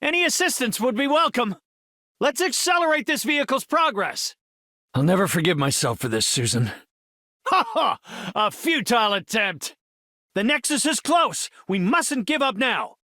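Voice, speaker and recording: Casting: earnest, brainy middle-aged superhero scientist, video game; formal, articulate delivery, very dry close recording. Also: male voice, very high voice, sing-song, normal speed, pushed and bright, dry recording, exaggerated voice. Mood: grave, resolute, then triumphant, upbeat.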